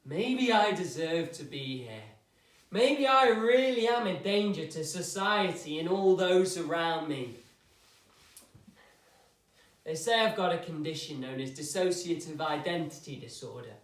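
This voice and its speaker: A man performing a troubled young man who speaks intensely and goads the listener. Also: a little high. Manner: intense, accusing, goading. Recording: echoey room from far off.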